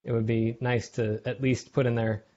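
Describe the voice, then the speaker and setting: A man's voice: mid-range voice, little pitch movement, normal speed, darkish tone. A man giving casual feedback in an online meeting, conversational and thinking aloud as he talks. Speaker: software contributor giving feedback. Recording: slightly dull online call audio.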